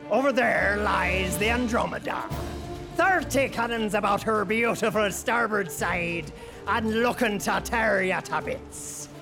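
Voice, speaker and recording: An actor playing a crazed, greedy pirate captain in an audio drama, bellowing theatrically with a stage pirate accent. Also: male voice, high voice, vocal fry, full character voice, low-quality recording. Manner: bellowing, theatrical, drawn-out words. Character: crazed, greedy old-time male pirate captain rallying crew.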